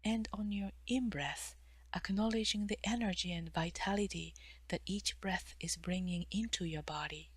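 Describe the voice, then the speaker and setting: A woman guiding a mindful stretching meditation in a soft, breathy voice, giving gentle, measured instructions with pauses and phrases that often fade toward a whisper. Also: mid-range voice, no room sound. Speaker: meditation guide, therapist.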